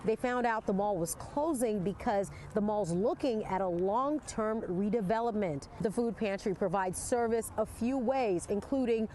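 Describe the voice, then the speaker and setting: A woman's voice: medium pitch, normal speed, hardly any pauses, mellow, a little hiss or hum. A woman delivering a scripted TV news report.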